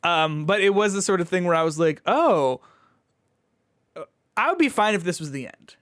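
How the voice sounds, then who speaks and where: A man's voice: sits high, animated, moderate pace, a bit bright, no echo, studio quality. A man co-hosting a podcast, chatting casually about video games in an informal, hedging way peppered with "like". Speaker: podcast co-host.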